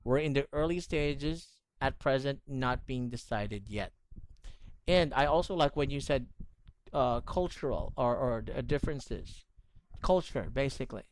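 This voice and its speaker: A man's voice: mid-range voice, expressive, measured pace, no room sound, poor audio. A man teaching English in an online lesson, correcting pronunciation and praising his student often. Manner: encouraging, corrective, repeats praise.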